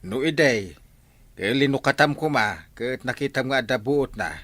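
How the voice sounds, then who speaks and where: An actor with an Ilocano accent playing an exasperated but good-hearted village captain in a comedy drama. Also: male voice, medium pitch, lively intonation, normal speed, fairly bright tone, dry recording. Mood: questioning.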